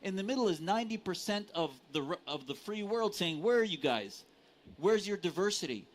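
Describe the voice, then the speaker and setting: Insistent male panelist, higher-pitched voice. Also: animated, brisk, a little hiss or hum. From United States. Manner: earnest, insistent, formal vocabulary. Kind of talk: live panel debate. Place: conference stage.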